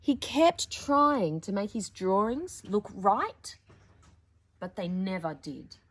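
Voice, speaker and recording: Animated woman reading a children's storybook. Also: medium pitch, slow pace. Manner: playful, theatrical, with dramatic emphasis. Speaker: storytime presenter reading children's picture books. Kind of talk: animated picture-book reading with chatty asides to young listeners.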